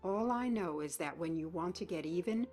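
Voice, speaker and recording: An actress plays an old mother-in-law in a soap-style drama, a woman with a fairly low voice. She is sharp-tongued and unsentimental, giving orders in a dry, commanding way. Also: expressive, normal speed, soft background music, natural voice. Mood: grave, insistent. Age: older.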